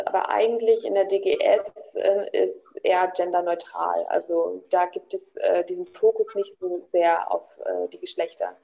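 A woman giving thoughtful interview answers over a video call, pausing often with fillers. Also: lowish voice, vocal fry, warm tone, lo-fi sound. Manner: thoughtful, many pauses and fillers.

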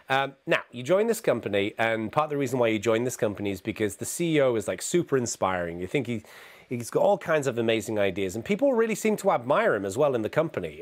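Hoarse, gravelly middle-aged man with a cold; lively, conversational keynote speaker talking from a home office. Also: mid-range voice. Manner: energetic, conversational, asks "okay?" often. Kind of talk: conference keynote presentation. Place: home office with neon sign.

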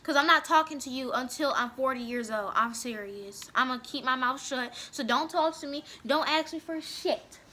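Young female gamer, bossy and foul-mouthed, high and piercing. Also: moderate pace, hardly any pauses, bright, forceful tone. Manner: bickering, bossy, swears a lot. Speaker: gaming vlogger. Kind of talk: casual gameplay commentary.

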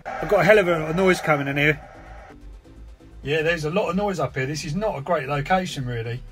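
A man's voice: on the high side, sharp tone. A man, giving a casual, chatty explanatory vlog as a hobbyist presenter. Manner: informal, chatty. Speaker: radio hobby vlogger.

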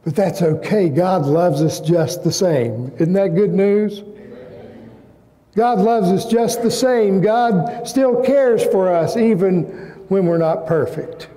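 An older man in his sixties delivers a sermon from a pulpit. His voice is fairly high for a man and very mellow. He phrases with emphasis and pauses, and the sound rings through a big church. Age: 60s. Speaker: pastor preaching to a congregation. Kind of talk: sermon with personal anecdotes. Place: large church sanctuary, indoors at a pulpit. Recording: reverberant church hall.

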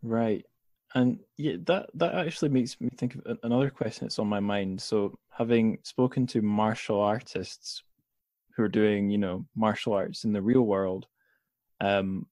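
A man's voice: fairly low pitch, steady tone, measured pace, darkish tone, no room sound. A man hosting a casual interview, phrasing questions in a hesitant, rambling way that circles back on itself. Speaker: podcast host, interviewer.

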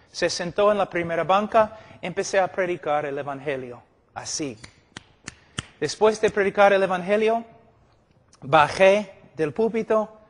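A middle-aged man preaching a sermon at a conference, emphatic and repeating phrases for stress, telling illustrative stories. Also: high-pitched. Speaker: preacher teaching at a church conference. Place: large indoor meeting hall, speaking to a seated audience. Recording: echoey hall with long reverb.

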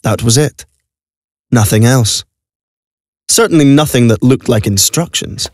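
A man's voice: mid-range voice, measured pace, a little mellow, no echo. A man narrating a scripted audio drama as a storyteller. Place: recording studio. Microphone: close studio microphone.

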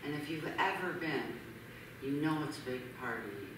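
A woman's voice: extremely low pitch, lively intonation, normal speed. A middle-aged woman curator introducing an artist at a gallery, with many fillers as she reads a bio aloud. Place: large gallery hall, indoors. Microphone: handheld mic heard from far off. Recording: echoey hall recorded from far off, background noise.

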